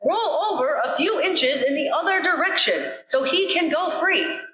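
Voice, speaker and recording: A woman's voice: high voice, lively intonation, moderate pace, sharp tone, slight background noise, voice pushed up. A woman voicing a brave, helpful little male mouse who calls out politely in a storybook tale. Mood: calling out. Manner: calling out, polite. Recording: roomy sanctuary echo.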